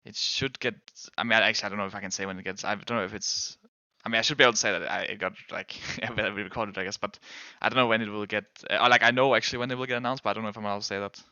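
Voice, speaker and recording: A man's voice: lowish voice, fairly even intonation, rapid-fire, hardly any pauses, pushed and bright, no echo, highs cut off. A man whose first language is Danish, chatting casually with viewers while gaming as a streamer, rambling and restarting his sentences.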